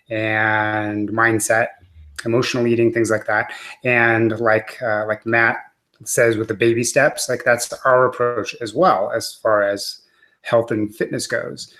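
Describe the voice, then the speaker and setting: A man with a middle-pitched voice, relaxed and easygoing. He ticks through short lists in an unhurried, conversational way on a video call. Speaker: podcast guest. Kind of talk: casual roundtable chat.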